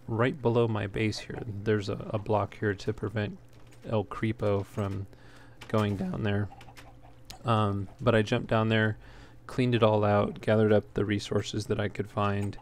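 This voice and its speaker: A man giving casual gameplay commentary in a halting way, as if thinking aloud while he plays. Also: fairly low pitch, flat delivery. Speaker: let's player, host. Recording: clear background noise.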